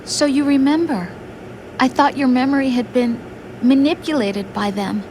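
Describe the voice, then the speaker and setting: An actress playing a tender, worried young woman in love, gentle and pleading, in an emotional video game cutscene. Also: high-pitched, normal speed, slight background noise, natural voice. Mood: disbelieving. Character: tender, worried young woman consoling the man she loves. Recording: music behind the voice.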